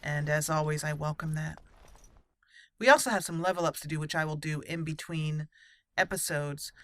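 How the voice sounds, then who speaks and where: A woman's voice: sits low, moderate pace, dry recording. A woman hosting a roleplay gameplay video, chatty and friendly, laughing easily as she talks her viewers through the game. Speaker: gaming channel host. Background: game music.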